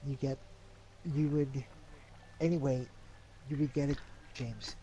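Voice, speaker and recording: Man, mid-pitched voice, slow pace, casual tabletop game chat. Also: fairly even intonation, mellow, low-quality recording.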